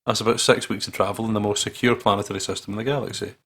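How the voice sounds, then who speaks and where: A man with a husky, gravelly voice playing a visual novel, reading the text aloud and joking in a playful way. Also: fairly low pitch, flat delivery, racing, fairly bright tone. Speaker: let's play host reading the game aloud. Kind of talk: dramatic read-aloud with joking commentary.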